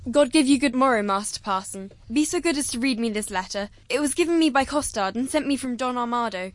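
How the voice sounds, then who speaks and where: A woman's voice: a little high, expressive, a little fast, no room sound, pitched below the performer's own voice, natural voice. A woman playing a simple, polite country girl in a Shakespeare performance. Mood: pleading. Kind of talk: Shakespeare stage acting.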